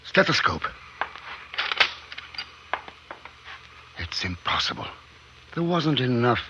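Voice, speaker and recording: An actor playing an obsessed, defiant scientist in a clipped, intense 1950s radio drama style. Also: male voice, medium pitch, big pitch swings, moderate pace, sharp tone. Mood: startled, puzzled. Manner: clipped, intense, feverishly insistent. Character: obsessed, defiant male scientist bringing a creature to life. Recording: muffled, noisy 1950s radio recording.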